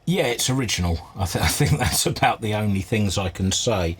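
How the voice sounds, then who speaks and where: A man with a fairly low, husky voice talks through a hands-on inspection. He presents like a restorer at work, thinking aloud in a measured, understated way without a script. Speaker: vintage radio restorer presenting a repair video. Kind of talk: unscripted hands-on inspection commentary.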